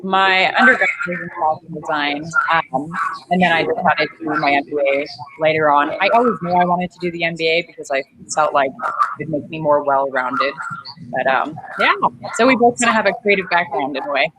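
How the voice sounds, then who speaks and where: A woman's voice: sits low, fairly quick. A woman raised in Kenya, now an MBA student, chatting in a friendly, easygoing way over a video call. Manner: friendly, laughs easily, chatty. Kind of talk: casual video-call chat. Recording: video call with clear background noise.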